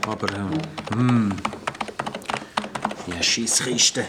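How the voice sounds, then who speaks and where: A man's voice: on the low side, very slow. A man with a Swiss German accent playing an earnest police officer at a small station in a children's radio play, talking plainly and a little hesitantly. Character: earnest male desk policeman. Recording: noisy recording.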